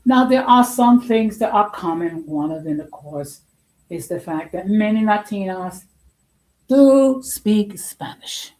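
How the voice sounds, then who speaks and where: A woman host giving an informal opinion talk in a halting, deliberate and emphatic way, often repeating her phrases. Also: medium pitch, animated, darkish tone, poor audio.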